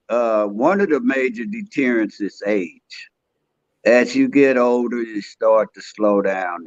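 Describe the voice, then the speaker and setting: A man's voice: fairly low pitch, no room sound. A man in his sixties, a podcast guest speaking in an earnest, streetwise, plain-spoken way in casual conversation. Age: 60s. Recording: dry, dull lo-fi sound.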